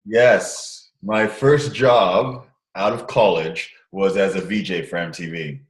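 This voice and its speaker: Deep voice guy on a call, rambly, lots of restarts, roomy echo. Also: takes it slowly. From Nigeria and Canada, raised partly in the US. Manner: rambling, restarts, animated when telling stories. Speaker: guest, social impact entrepreneur. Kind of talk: casual interview over a call. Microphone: call recording.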